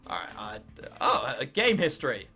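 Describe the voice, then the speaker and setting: A man's voice: medium pitch, wildly swooping pitch, moderate pace, vocal fry, bright, forceful tone, soft background music. A nineteen-year-old man with an English accent doing casual, jokey let's play commentary, swearing freely and reading game text aloud. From England. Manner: jokey, sweary, self-interrupting. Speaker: gaming video host.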